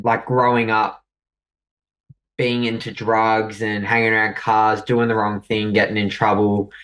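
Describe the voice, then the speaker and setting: A man's voice: fairly low pitch, fairly bright tone, distant mic, rough recording. A young Australian man from New South Wales, a podcast guest telling his own story in a reflective, hesitant way. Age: young adult. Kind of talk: personal story in conversation.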